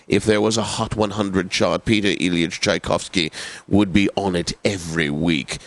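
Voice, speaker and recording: An actor playing a pompous, genteel classical radio DJ in a video game, announcing in a precise and theatrically obliging manner. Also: male voice, low-pitched, fairly even intonation, moderate pace, no echo. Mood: wry, chatty. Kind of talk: scripted radio continuity announcing.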